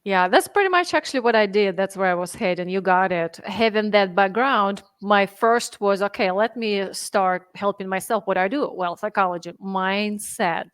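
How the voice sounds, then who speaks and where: A woman in her thirties with a Russian accent talks earnestly in a podcast interview, laying out her ideas step by step with the odd grammar slip of a second language. Her voice sits at a middle pitch, and the sound is dry and close, with no room around it. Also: moderate pace, hardly any pauses, smooth, no echo. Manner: earnest, explains in steps, slips in grammar. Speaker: health and fitness coach guest. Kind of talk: conversational interview.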